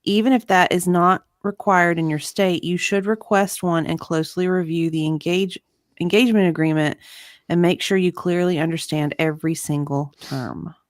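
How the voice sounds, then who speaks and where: A woman's voice: fairly low pitch, fairly even intonation, normal speed, dry recording, clean studio sound. A woman co-hosting a livestream in a casual, chatty style, at times reading aloud. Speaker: livestream co-host. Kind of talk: casual chat, reading aloud from web pages.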